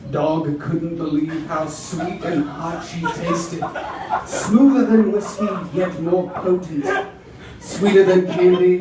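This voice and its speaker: Theatrical man reading in an echoey room. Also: high-pitched. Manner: theatrical, drawn-out delivery. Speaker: reader at a live dramatic reading. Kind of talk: mock-dramatic reading of erotic romance fiction. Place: roomy venue with a live audience. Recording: echoey room from far off, audience noise.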